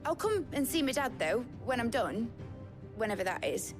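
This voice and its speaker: An actress playing a brash, enthusiastic young engineer in a game cutscene, with a Northern English accent and colloquial, boastful delivery. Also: female voice, on the high side, very animated, moderate pace. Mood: proud, eager. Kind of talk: lively game cutscene acting. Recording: music behind the voice.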